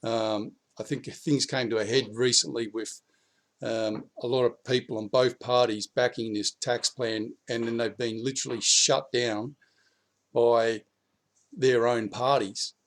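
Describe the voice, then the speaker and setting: A man with an Australian accent, a little croaky after the flu, talking bluntly and casually to camera from his backyard about politics. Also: medium pitch, steady tone, a little slow. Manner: blunt, swears, rising heat when urging. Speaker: prepping vlogger.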